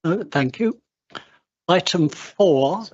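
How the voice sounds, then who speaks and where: English man chairing a council meeting, slow halting pace, muffled lo-fi sound. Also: on the high side, lively intonation, a little mellow, breathy edge. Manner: slow, halting, with many uhs. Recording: dull lo-fi council livestream audio.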